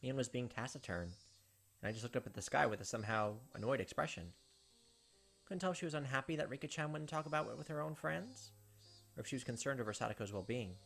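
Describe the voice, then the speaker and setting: A man with a medium-pitched voice hosts a visual novel let's play. He moves between casual commentary and reading narration aloud. Soft music plays faintly behind him. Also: expressive, quick pace, gravelly.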